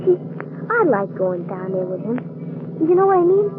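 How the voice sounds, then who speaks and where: A woman's voice: fairly high pitch, very animated, moderate pace. A woman voicing an eager, streetwise young boy with slangy, earnest talk, in 1940s radio acting. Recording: tinny lo-fi old radio broadcast with hiss.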